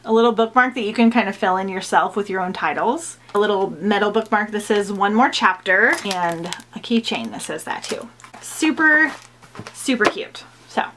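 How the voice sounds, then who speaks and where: A woman's voice: medium pitch, moderate pace, a bit bright, slight background noise. A young woman chatting casually to her viewers about books, bubbly and full of "like" fillers, a little stuffy from a mild cold. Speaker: book vlogger. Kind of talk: casual chat to camera.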